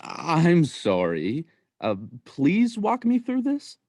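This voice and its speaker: Casting: woman as shady uncle, low pitch, lively swings, pleading and incredulous, radio drama. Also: takes it slowly, dry recording, studio quality, full character voice. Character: exasperated, shady adult uncle, male watch peddler. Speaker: student actor in radio play. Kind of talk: radio play acting.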